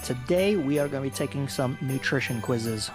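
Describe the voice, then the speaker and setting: A man's voice: medium pitch, normal speed, soft background music. A man hosting a casual nutrition podcast as a coach, upbeat and explaining with many fillers.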